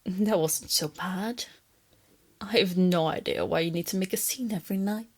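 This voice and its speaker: A woman acts out a female lamia captor in a soft ASMR roleplay. Her voice sits a little low and is breathy, often slipping into whispers. She coaxes and teases with doting condescension and a hint of threat. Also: moderate pace. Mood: veiled threat. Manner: teasing, coaxing, condescending, giggly. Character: female lamia captor, softly teasing, doting yet menacing.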